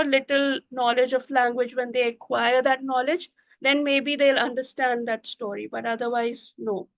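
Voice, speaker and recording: High-voiced Urdu-speaking woman teacher. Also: no room sound. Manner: gentle, unhurried, frequent "you know" and "uh". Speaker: Montessori teacher. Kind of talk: reflective talk to camera.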